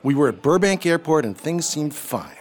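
Bitter, snappish drinker for radio drama: actor with mid-pitched, very animated delivery, faint music underneath. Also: male voice, normal speed. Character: bitter, impatient, hard-drinking rich husband, snappish.

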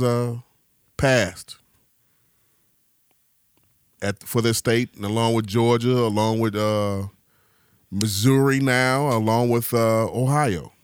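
A man hosting a podcast, halting and repeating words in casual chat. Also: mid-range voice, clean tone, no echo, studio quality. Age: 40s. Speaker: podcast host.

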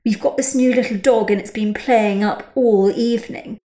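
A woman's voice: on the high side, fairly even intonation, moderate pace, dark tone, echoey room, natural voice. A woman voicing a stiff, strained middle-aged mother who talks in a clipped, proper way. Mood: flustered.

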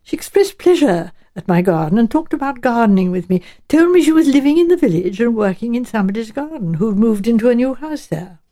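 Shrewd, genteel old English lady detective for audiobook woman performing; soft-edged tone, thoughtful and chatty. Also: fairly high pitch, big pitch swings, brisk, few pauses. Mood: chatty, puzzled. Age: elderly. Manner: musing, hedging, politely chatty. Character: shrewd, genteel elderly village spinster turned amateur sleuth.

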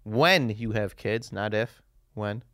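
A man co-hosting a podcast in a studio, trading quick-witted banter and laughing often. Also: lowish voice, a bit bright, dry recording. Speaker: podcast co-host. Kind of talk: casual comedic podcast chat.

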